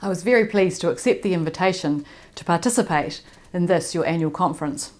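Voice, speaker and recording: A woman with a New Zealand accent delivers a prepared conference talk from a distance. Her voice sits low, and she paces herself slowly and deliberately. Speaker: conference speaker. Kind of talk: prepared presentation read by remote video. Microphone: recorded remotely, not in person.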